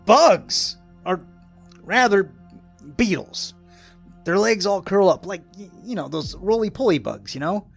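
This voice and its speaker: An actor playing a folksy, rambling old American hermit in a radio drama, with a gravelly, raspy voice and an excitable rustic manner. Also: male voice, high voice, measured pace, faint music behind, full character voice. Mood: excited. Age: older.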